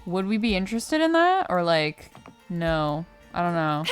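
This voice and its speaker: A young adult woman giving casual let's play commentary, chatty and slangy as she thinks out loud. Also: fairly low pitch, very animated, a little slow. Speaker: gaming streamer. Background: game music.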